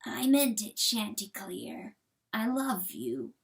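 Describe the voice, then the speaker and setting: A woman voicing a small, wounded male coyote in an animal fable, calm and forgiving, speaking softly and earnestly. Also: mid-range voice, creaky, heavily acted. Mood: calm, certain. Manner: soft, slow, earnest whispering.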